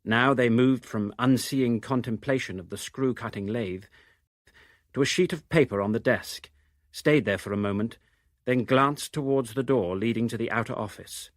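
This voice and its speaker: A man narrates literary prose from a script. His voice sits at an ordinary male pitch. The recording is dry and close, with very little room sound. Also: normal speed, no echo. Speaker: reader of novel extracts.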